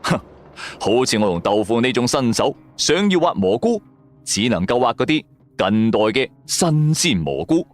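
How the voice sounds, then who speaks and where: A man's voice: medium pitch, sing-song, moderate pace. A man with a Cantonese accent, narrating a first-person story in a dramatic audio drama style.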